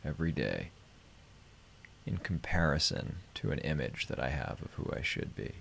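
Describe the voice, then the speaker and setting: A man hosting a live stream, guiding meditation and chatting casually in a gentle, unhurried, reflective way. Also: extremely low pitch, very animated, creaky, slight background noise.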